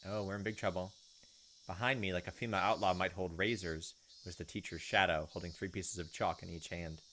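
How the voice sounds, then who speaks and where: A man's voice: deep voice, fairly even intonation, brisk, bright, forceful tone, a little hiss or hum. A man doing a casual let's play, reading a visual novel aloud and adding his own informal asides. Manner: informal, reacts to the story as he reads. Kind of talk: casual gameplay narration with asides.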